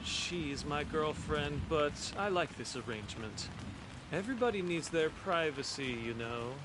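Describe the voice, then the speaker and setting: A man's voice: on the high side, expressive, unhurried. A man playing a chatty, self-satisfied resident of a grand manor in a video game. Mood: smug, chatty. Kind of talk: game dialogue acting. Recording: clear background noise.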